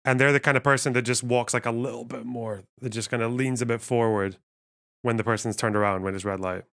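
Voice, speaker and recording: A man's voice: medium pitch, steady tone, fast talker, gravelly, fairly bright tone. A man hosting a livestream, thinking aloud in a rambling, wry way while reading out chat. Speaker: livestream host.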